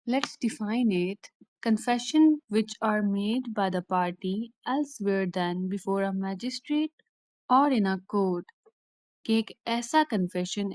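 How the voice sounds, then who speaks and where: A woman with a Pakistani accent giving an explanatory law lecture in a deliberate, instructional way, restating each point plainly. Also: medium pitch, darkish tone, no echo. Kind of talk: explanatory lecture mixing Urdu and English.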